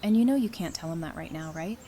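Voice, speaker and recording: A woman's voice: deep voice, fast, dark tone, a little hiss or hum. A woman playing a caring, frank apocalypse survivor in a naturalistic audio drama. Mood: concerned. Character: caring, frank female zombie-apocalypse survivor, hurt by betrayal.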